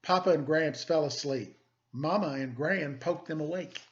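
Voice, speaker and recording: A middle-aged man reads to children in a storytime style. His voice sits a little high for a man, and the recording is somewhat dull and lo-fi. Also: normal speed. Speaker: story reader, teacher. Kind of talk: animated children's picture book read-aloud, then casual address. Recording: dull lo-fi sound.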